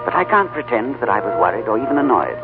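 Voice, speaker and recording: An actor playing a calm, thoughtful male diplomat who narrates his journey in a 1930s radio drama, speaking in a composed, gentle way. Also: medium pitch, fast talker, close to an everyday voice. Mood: dreamy narration. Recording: tinny old radio broadcast with music.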